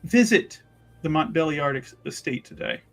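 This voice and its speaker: A man playing a tabletop roleplaying game, narrating his character in halting, deliberate, solemn phrases. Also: fairly high pitch, expressive, creaky, soft background music.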